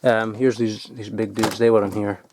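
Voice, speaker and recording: A man's voice: fairly low pitch, little pitch movement, moderate pace, background noise. Rambling, swearing man. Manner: swears often, filler-heavy and digressive. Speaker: vlogger. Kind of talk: casual rambling show-and-tell. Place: cluttered loft.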